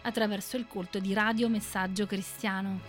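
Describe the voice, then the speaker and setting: A woman with a Sicilian Italian accent delivering a scripted closing announcement in a measured, solemn tone. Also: medium pitch, fairly even intonation. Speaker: radio announcer. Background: faint music.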